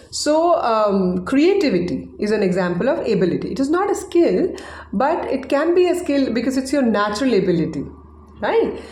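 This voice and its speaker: A woman's voice: on the high side, a little mellow. A woman whose first language is Hindi, teaching a casual online English lesson in a chatty way and often checking in with "right?". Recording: echoey room.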